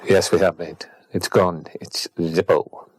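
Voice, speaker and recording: Mournful older caller, played by a man, deep-voiced and deadpan, for radio comedy. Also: a little slow, no echo, poor audio. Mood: glum. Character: glum, frank older male phone-in caller. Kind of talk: comic phone-in chat.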